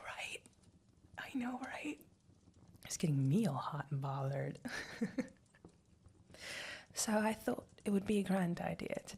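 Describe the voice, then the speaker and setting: Woman doing a mock British accent, teasing whisper, very breathy, slow and gravelly. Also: medium pitch, full character voice. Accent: put-on British. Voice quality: soft whisper. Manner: flirty, teasing. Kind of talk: whispered ASMR roleplay.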